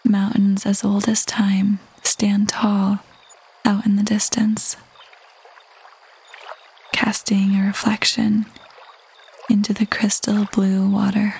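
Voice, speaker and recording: A woman leading a guided meditation in a soft, breathy half-whisper, gentle and calm with long pauses. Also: medium pitch, a little hiss or hum. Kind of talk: guided meditation, slow soothing narration. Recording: voice over prominent background music. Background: meditation music.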